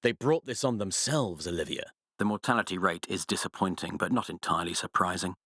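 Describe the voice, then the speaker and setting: Low-voiced, pompous villain scientist. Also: male voice, brisk, a bit bright, husky, no room sound, studio quality. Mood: cold triumph. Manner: theatrical, condescending, precise diction. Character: cold, pompous, sadistic villainous baron scientist, gloating. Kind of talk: video game villain voice acting, monologues and research logs.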